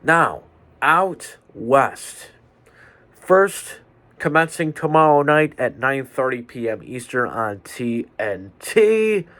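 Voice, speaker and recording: A man hosting a solo sports podcast from his bedroom, a little stuffed up, delivering picks in a measured, deliberate way. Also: mid-range voice, sing-song, sharp tone. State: stuffy nose. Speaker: podcast host. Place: home bedroom with fans running.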